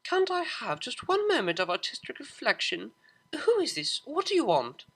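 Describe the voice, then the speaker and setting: A man's voice: extremely high pitch, huge pitch swings, moderate pace, a bit bright, slightly rough, pitched above the performer's own voice, heavily acted. A man voicing a refined young female pony cellist from a story, prim and easily flustered. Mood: irritated. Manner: prim, stammering when flustered.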